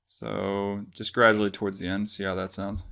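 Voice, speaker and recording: Man, lowish voice, tutorial presenter, informal, step-by-step explanation. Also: level tone, normal speed, vocal fry, bright, forceful tone, clean tone. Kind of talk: casual software tutorial.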